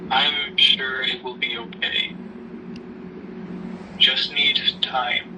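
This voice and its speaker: Grieving widower dad played by a man, very slow and flat, gentle and halting, audio drama, noisy distant recording. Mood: gentle, somber. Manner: halting, subdued, measured delivery. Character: grieving widowed father gently counseling his daughter. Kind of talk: amateur audio drama acting. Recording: noisy rumbling background, distant mic.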